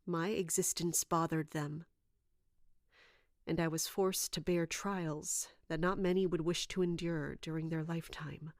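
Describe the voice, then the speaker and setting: A woman playing a wounded, caring but guarded royal guard captain in an audio drama, speaking earnestly and haltingly. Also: deep voice, steady tone, dry recording, studio quality. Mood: pained, reluctant. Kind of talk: dramatic audio fiction acting.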